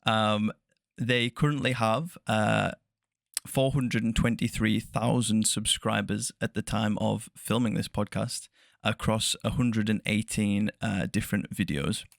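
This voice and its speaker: A man hosting a soft-spoken interview podcast, chatty and laughing often, correcting himself as he goes. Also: fairly low pitch, steady tone, measured pace, gravelly, no echo, clean studio sound. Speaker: podcast host.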